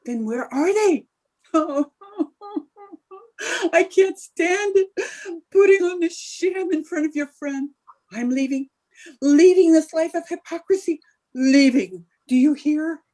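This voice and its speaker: Theatrical, mellow radio housewife woman acting. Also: high-pitched, slow pace, long pauses, poor audio. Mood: mock-tragic. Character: scheming, melodramatic young housewife in a radio sitcom. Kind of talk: old-time radio comedy acting.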